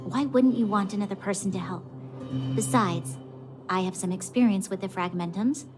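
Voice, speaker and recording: A woman's voice: medium pitch, moderate pace, natural voice. A woman voicing an earnest, principled young adventurer in a video game, firm and articulate. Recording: game music behind the voice. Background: music.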